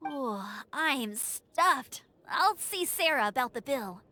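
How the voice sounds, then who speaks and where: A woman's voice: high-pitched, expressive, slow pace, sharp tone, soft background music, full character voice. A woman voicing a bubbly, easygoing young female scout, cheerful and playful, in a video game. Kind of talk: animated game dialogue.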